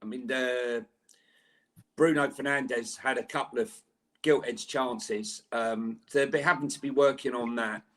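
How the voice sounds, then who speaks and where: A man co-hosting a live fan podcast, excitable and stammering through his points. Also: medium pitch, a little slow. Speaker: podcast co-host.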